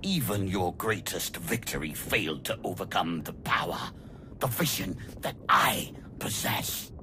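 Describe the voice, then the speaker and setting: An ancient dark emperor from a video game, coldly amused and pompous, in a very deep, gravelly voice. He draws out his mocking words slowly, over clear rumbling noise. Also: expressive. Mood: smug, menacing. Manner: pompous, slow and deliberate, mocking. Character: ancient evil emperor's spirit, arrogant, coldly amused villain. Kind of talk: scripted video game villain acting.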